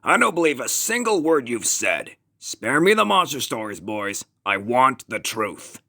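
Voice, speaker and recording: A man playing a sarcastic, bullying authority figure in a fan-dubbed drama, with a gravelly, rough voice and mocking, theatrical delivery. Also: mid-range voice, big pitch swings, measured pace, sharp tone, heavily acted. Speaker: voice actor in a fan dub.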